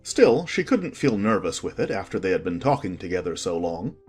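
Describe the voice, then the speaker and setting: A man's voice: sits low, very animated, normal speed, darkish tone, husky, soft background music. A man narrating a children's story from a script as the storyteller.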